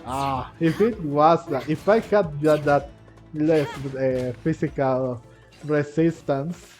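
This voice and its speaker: A man giving casual let's-play commentary, rambling and halting as he thinks aloud. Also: on the high side, darkish tone. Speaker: gaming commentator. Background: video game music.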